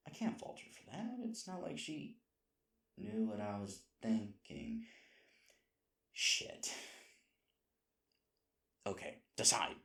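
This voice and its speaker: A man's voice: fairly low pitch, wildly swooping pitch, takes it slowly, pauses often, pushed and bright, heavily acted. A man playing a sweet, flustered, self-deprecating boyfriend in an intimate roleplay audio, hesitant and rambling as he corrects himself. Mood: anxious self-talk.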